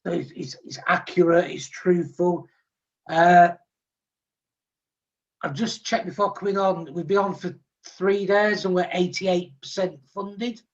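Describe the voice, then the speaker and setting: A man from England chatting wryly on a casual panel and pitching his own project. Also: fairly even intonation, measured pace, lo-fi sound. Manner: wry, teasing, self-promoting. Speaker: panel host, comic artist.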